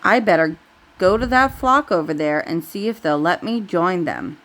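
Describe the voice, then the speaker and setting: A woman's voice: fairly low pitch, sing-song, normal speed, fairly bright tone. A woman voicing a sly, hungry male wolf who pretends to be a meek, lost sheep in a bedtime story. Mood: feigned pitiful.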